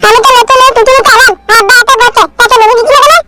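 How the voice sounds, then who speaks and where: A defiant, complaining cartoon youngster, with an extremely high, piping voice. The delivery is quick and brash, the temper whiny and cheeky. Music runs clearly behind the voice. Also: female voice, steady tone. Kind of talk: comedy cartoon dubbing.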